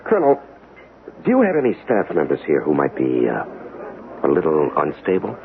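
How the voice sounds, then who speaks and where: Earnest male inspector, 1970s radio drama, heavy hiss, very animated pitch, precise, polite, slightly hesitant. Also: normal speed, vocal fry, narrow, tinny audio, exaggerated voice. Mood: polite, probing. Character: earnest, fussy male accountant turned government inspector. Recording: noisy, band-limited 1970s radio recording.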